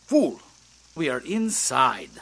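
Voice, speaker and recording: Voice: mid-range voice, very animated, slow, a bit bright, a little hiss or hum, heavily acted. A performer playing a wry, blunt, scrappy young zombie survivor in an amateur audio drama.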